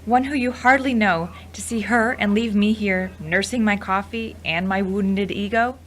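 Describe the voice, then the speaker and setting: A woman acting as a wry, slighted woman in an audio drama. Her voice is mid-pitched. She speaks pointedly, with hurt under a show of not caring. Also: fairly even intonation, moderate pace, lightly acted. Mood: wounded, incredulous. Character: wry woman hurt at being dumped, feigning indifference.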